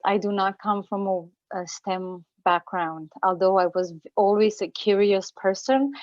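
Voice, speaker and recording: A woman's voice: medium pitch, no room sound, lo-fi sound. A woman from Romania in her forties giving an earnest personal talk as a guest speaker at an online event, with many fillers and restarts.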